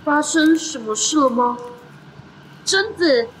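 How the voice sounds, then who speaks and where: A woman's voice: high voice, lively intonation, takes it slowly, slight background noise, rough recording. A woman voicing an earnest, determined young boy who worries for his older brother, in amateur audio drama style.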